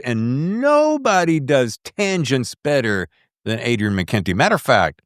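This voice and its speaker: A man's voice: medium pitch, dry recording, clean studio sound. A middle-aged man hosting a podcast, chatting in an informal, jokey, salty-tongued way that wanders into tangents. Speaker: podcast host. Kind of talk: casual interview chat.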